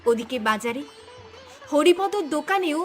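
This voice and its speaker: A woman's voice: sits high, animated, moderate pace, faint music behind. A woman narrator reading a scripted cartoon story in a dramatic storytelling style.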